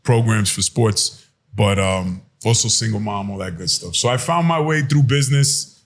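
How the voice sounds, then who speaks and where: A man's voice: lowish voice, moderate pace. A man from New York hosting a podcast interview in an informal, streetwise, conversational way. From New York, United States. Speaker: podcast host.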